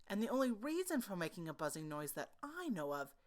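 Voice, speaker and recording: A woman's voice: mid-range voice, very animated, a little fast, close to an everyday voice. A woman voicing an earnest, simple-minded, honey-loving male bear in a children's storybook, pondering aloud in an emphatic, sing-song way. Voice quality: growly.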